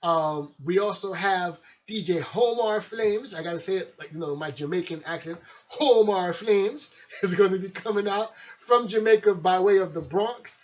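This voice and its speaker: A man on the higher side of the male range, hosting a radio show in a loose, chatty and friendly way. The recording is dull and lo-fi, with the top end rolled off. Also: normal speed. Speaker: radio host and DJ. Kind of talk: casual radio show talk.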